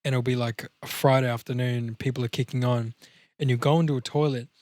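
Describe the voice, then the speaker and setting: A man's voice: mid-range voice, fairly even intonation, fast talker, a little mellow, no room sound. An Australian man hosting a podcast in casual banter, with a rambling, sweary way of telling stories. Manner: rambling, sweary, digressive storytelling. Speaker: podcast host.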